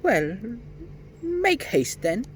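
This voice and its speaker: A man voicing a sly, shrill old male gnome in a storybook reading. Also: wildly swooping pitch, very slow, slight background noise, exaggerated voice. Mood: impatient.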